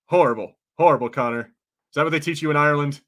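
A man's voice: a little high, fairly bright tone. A man co-hosting a casual podcast, chatting loosely, laughing often and reading out live chat comments. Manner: laid-back, laughing, puts on quoted voices. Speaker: podcast co-host.

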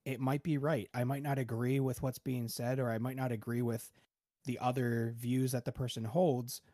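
A man calmly explaining ideas with examples in a casual podcast panel talk. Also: medium pitch, normal speed, dry recording, clean studio sound. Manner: calm, explanatory, gives examples.

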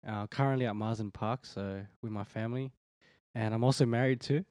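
A young man in his twenties talks easily and without hurry as a podcast guest. His voice sits at an ordinary pitch, recorded dry and close. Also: steady tone, fairly quick, darkish tone, clean tone, no echo. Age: 20s. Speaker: church leader, guest. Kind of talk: casual podcast chat. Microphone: podcast microphone.

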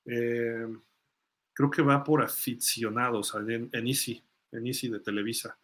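A man hosts a live sports fan show in a casual, conversational way. His voice sits at a medium pitch. He reasons things through aloud as he responds to comments from listeners. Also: level tone, a little slow, a bit bright.

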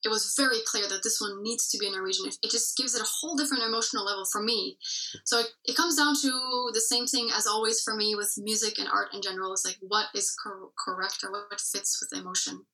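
A woman musician talks through her creative process in a relaxed interview, with a bright, clear tone. She picks her words as she goes, circling back and restarting. Her voice sits a little back from the microphone. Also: medium pitch, quick pace, recorded from a distance, poor audio. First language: English. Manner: reflective, many fillers and restarts. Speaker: musician guest. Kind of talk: casual interview chat.

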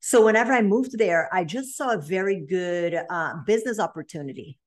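A woman's voice: medium pitch, expressive, a little fast. A woman in her late thirties with a Brazilian accent, a guest on a podcast telling her story in a chatty way with lots of ums and restarts. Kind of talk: casual podcast interview.

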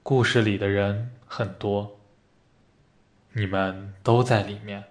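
A man acting a dying hospital patient in a radio play, calm, resigned and reflective as he speaks quietly. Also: fairly low pitch, takes it slowly. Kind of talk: amateur radio drama reading of a stage play.

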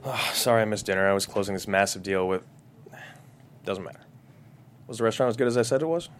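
A man's voice: lowish voice, fast talker, a little hiss or hum, natural voice. A man playing a suave, eager, wealthy businessman in his mid thirties at a comedy table read. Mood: breezy.

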